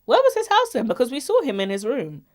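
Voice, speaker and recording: A woman's voice: high voice, huge pitch swings, fast. A woman co-hosting a podcast in casual, opinionated conversation. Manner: informal, opinionated. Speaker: podcast co-host. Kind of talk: casual chat.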